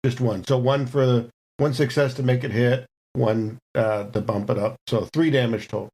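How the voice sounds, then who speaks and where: Mid-pitched man playing a tabletop game. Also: steady tone, normal speed, darkish tone. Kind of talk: casual game talk, narrating character's actions.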